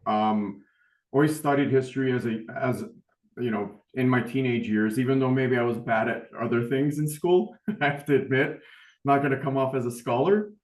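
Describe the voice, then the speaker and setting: A man's voice: mid-range voice, moderate pace, echoey room, low-quality recording. A middle-aged man speaking as a panelist on a webinar, humble and rambling, with many fillers. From United States. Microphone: video call.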